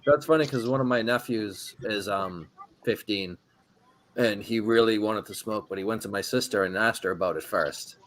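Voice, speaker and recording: A man telling stories in an unhurried, laid-back way during a casual chat, with frequent ums. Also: fairly low pitch, fairly bright tone.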